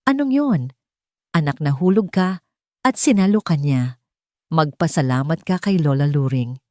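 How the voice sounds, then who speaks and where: A woman's voice: low-pitched, lively intonation, measured pace, darkish tone, no room sound, studio quality. Woman voicing an anxious, protective young mother. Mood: puzzled, firm. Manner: worried, scolding, urgent.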